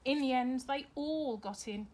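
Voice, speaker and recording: A woman's voice: high voice, unhurried, darkish tone, slight background noise. A woman reading a children's story aloud expressively, like a teacher to her pupils.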